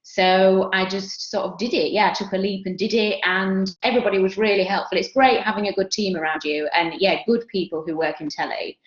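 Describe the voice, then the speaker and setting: A woman's voice: medium pitch, steady tone, fairly quick, few pauses. A young woman with a Yorkshire accent, chatting as a guest speaker about her career, self-deprecating and full of fillers. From Yorkshire, England. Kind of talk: casual career talk on a video call. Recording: roomy, dull video-call audio.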